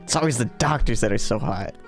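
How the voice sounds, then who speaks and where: A man's voice: mid-range voice, quick pace, soft background music. A man chatting casually and reading a visual novel aloud, joking and swearing informally as he plays. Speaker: gaming video co-host.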